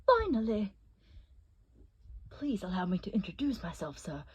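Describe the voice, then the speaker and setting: A woman voicing a scheming, fawning male scientist villain in a theatrical, flowery cartoon style, with a breathy, husky voice. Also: mid-range voice, wildly swooping pitch, moderate pace, heavily acted. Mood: eager, deferential.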